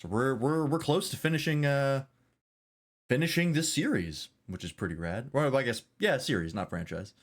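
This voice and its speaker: A man's voice: mid-range voice, lively intonation, moderate pace. A man streaming a game, chatting casually and rambling with self-deprecating jokes.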